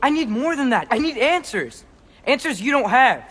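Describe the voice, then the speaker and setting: Casting: defiant teenage son for a game, very high voice, sharp-tongued, lively intonation. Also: male voice, moderate pace, noisy background. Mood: defiant, frustrated. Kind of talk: dramatic video game acting.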